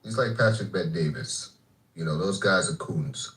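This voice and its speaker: A man's voice: low-pitched, normal speed, fairly bright tone, echoey room, poor audio. A man from Africa in a heated group voice chat, talking brashly and profanely and taunting others. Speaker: voice chat participant.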